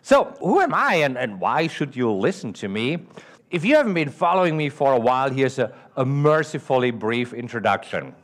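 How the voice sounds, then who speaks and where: Animated German-accented man telling stories. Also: mid-range voice, moderate pace. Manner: animated, asks the audience questions, acts out the dialogue. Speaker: trading educator. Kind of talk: storytelling talk at a live event. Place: event venue with an audience.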